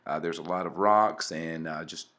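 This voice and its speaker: A man's voice: very deep voice, fairly even intonation, normal speed, a bit bright. A man, casually narrating a channel intro with many fillers and restarts. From Mississippi. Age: 40s. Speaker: channel co-host.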